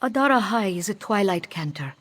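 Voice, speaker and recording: A woman's voice: mid-range voice, expressive, a little fast, natural voice. A woman voicing a solemn cat-folk priestess in a fantasy game, speaking formally of herself in the third person. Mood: grave, earnest. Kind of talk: scripted fantasy game dialogue. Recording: background music under the voice.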